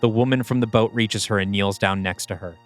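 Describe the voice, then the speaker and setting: A man's voice: fairly low pitch, steady tone, a little fast. A man reading scripted, screenplay-style narration for an audio play. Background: background music.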